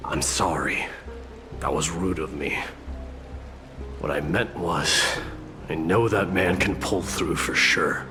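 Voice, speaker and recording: A man's voice: deep voice, slow, long pauses, over music. A man playing a proud, stern warrior prince in a video game, speaking in a formal, grave, restrained way. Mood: curt, apologetic.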